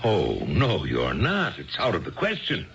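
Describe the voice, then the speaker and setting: An actor playing a cold, domineering bookish husband in a 1970s radio drama, curt, emphatic and imperious. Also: male voice, deep voice, wildly swooping pitch, unhurried, creaky, a bit bright, exaggerated voice. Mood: outraged. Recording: dull lo-fi 1970s radio broadcast.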